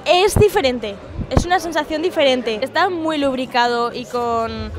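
A woman's voice: high voice, unhurried, over music. A young woman with a Spanish accent from Spain, answering frankly and eagerly into a handheld mic outdoors at night. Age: young adult. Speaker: interviewee. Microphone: handheld mic held by interviewer.

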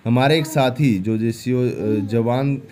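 A man's voice: mid-range voice, takes it slowly, darkish tone. A man hosting an explanatory news update, speaking emphatically and repeating key phrases. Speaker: host of pension update channel. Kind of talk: explanatory news commentary over slides.

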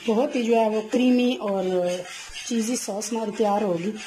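A woman, hosting a cooking channel and narrating a recipe step by step, often filling pauses with "jo hai vo". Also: medium pitch, a little hiss or hum. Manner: leans on the filler "jo hai vo", gentle instructive tone.

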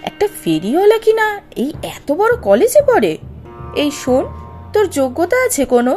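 An arrogant young college girl in a cartoon. Her high voice swoops dramatically from note to note. She is scornful and spiteful, with light music underneath. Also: wildly swooping pitch, moderate pace, darkish tone. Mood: sneering. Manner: sneering, scornful. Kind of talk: Bengali animated story dialogue.

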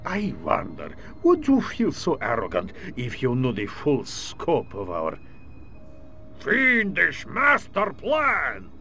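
An actor playing a scheming alien villain posing as a general, speaking with a gloating, theatrical manner in a video game cutscene. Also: male voice, on the high side, wildly swooping pitch, slow pace, bright, forceful tone, soft background music, exaggerated voice.

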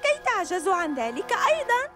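A woman's voice: very high-pitched, sing-song, loud music behind the voice. A woman voicing a mischievous, scornful young girl villain in a children's cartoon, speaking theatrically. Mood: scornful, gloating. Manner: scornful, theatrical, drawn-out commands. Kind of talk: animated children's cartoon dub.

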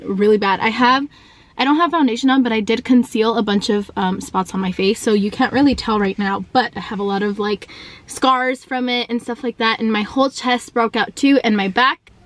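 A woman in her twenties, from the United States, chatting casually to the camera in a vlog with lots of ums and likes, recorded from arm's length in a parked car. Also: fairly high pitch. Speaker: vlogger. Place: parked car, indoors at first. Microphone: camera held at arm's length.

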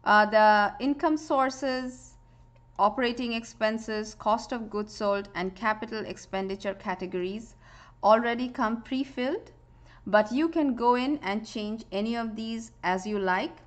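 A woman giving a screen-recorded spreadsheet tutorial, explaining step by step in a calm voice with frequent "uh" fillers. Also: on the high side, measured pace. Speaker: software tutorial presenter.

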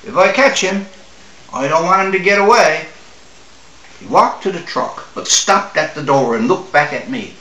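An older man from Newfoundland reads a short story aloud in a measured, expressive way, with dramatic pauses. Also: a little high. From Newfoundland, Canada. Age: older, 60s or 70s. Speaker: author reading own short story. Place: small room at home or office, seated at a table. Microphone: camera a few feet away. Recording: roomy, distant microphone.

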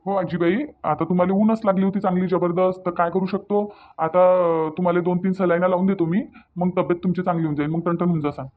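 A man's voice: sits high, fairly even intonation, fast talker, narrow, tinny audio, lightly acted. A man voicing a reassuring village doctor in a cartoon, folksy and repetitive, with a Varhadi Marathi accent. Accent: Varhadi, Vidarbha Marathi. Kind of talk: cartoon dialogue.

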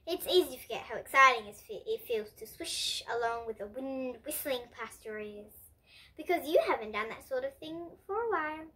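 A young girl reads a storybook to other children. Her voice is high and bright, and it rises and falls in a very animated way. She tells it expressively, with the odd stumble over a word. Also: moderate pace. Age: child, about 8 to 11. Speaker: host and reader of children's bedtime books. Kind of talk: reading a picture book aloud for children. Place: indoor room with bookshelves.

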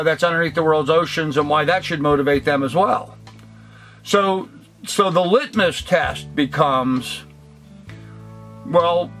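An older man talking earnestly to the camera from a cluttered workshop, reflective and halting with many ums and restarts. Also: a little high, fairly bright tone. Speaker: vlogger. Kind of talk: casual talk to camera. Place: cluttered indoor workshop room.

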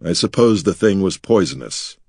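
Grim tired hero guy, dry clipped delivery, deep low voice, man reading. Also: lively intonation, moderate pace, a little mellow, slightly rough, no echo, full character voice. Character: grim weary male adventurer.

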